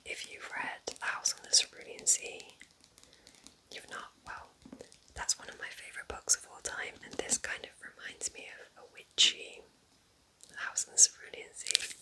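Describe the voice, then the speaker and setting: An ASMR host recommending books in a breathy, unvoiced whisper, soft, unhurried and gently enthusiastic. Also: poor audio.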